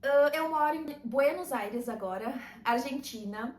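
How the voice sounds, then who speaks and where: A woman, calmly talking to camera in a casual vlog and laughing lightly now and then. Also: high-pitched, unhurried, roomy sound. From southern Brazil. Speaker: vlogger and routine mentor.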